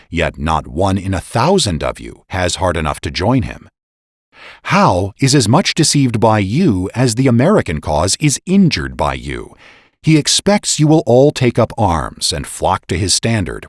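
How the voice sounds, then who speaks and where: Low-voiced man in a sweeping dramatic reading. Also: no room sound, clean studio sound. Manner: emphatic, rhetorical, with swelling and falling emphasis. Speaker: narrator reading a historical pamphlet. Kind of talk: dramatic reading, formal oratory.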